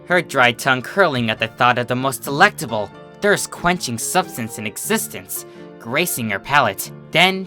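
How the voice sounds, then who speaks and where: Male narrator for scripted fiction: bright, animated delivery over guitar music. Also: medium pitch.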